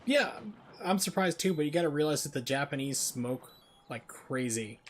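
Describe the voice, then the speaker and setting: A man's voice: on the high side, expressive, normal speed. A man giving casual, chatty, amused commentary while livestreaming a video game. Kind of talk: casual gaming stream commentary.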